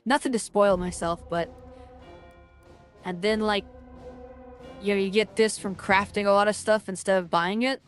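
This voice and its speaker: A woman talking through her game as a streamer, rambling and filling her talk with "like" and restarts, with a loud voice. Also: mid-range voice, steady tone, moderate pace, pauses often, faint music behind. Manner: rambling, lots of fillers and restarts. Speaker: gaming streamer. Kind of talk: casual game commentary.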